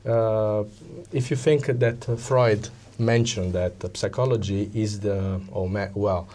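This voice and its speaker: An Italian man with an Italian accent, explaining things as an interview guest in a conversational but halting way, often pausing to search for words. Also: on the low side, fairly even intonation, dark tone. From Italy. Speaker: interview guest, psychology coach.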